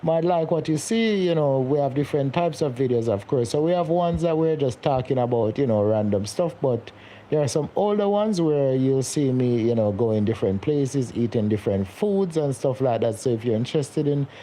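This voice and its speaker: A young man chats loosely on his live stream, looping back over his words as he talks. His voice is mellow and dark, with a faint hum of background noise. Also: mid-range voice, lively intonation, moderate pace, rough recording. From Jamaica. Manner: rambling, repeats words, laughs often. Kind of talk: casual live-stream chat reading comments.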